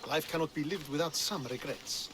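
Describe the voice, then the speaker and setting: An actor playing a proud, loyal Spartan officer who speaks formally in a video game cutscene. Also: male voice, fairly high pitch, moderate pace, noisy background, natural voice. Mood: reverent, reflective. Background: music.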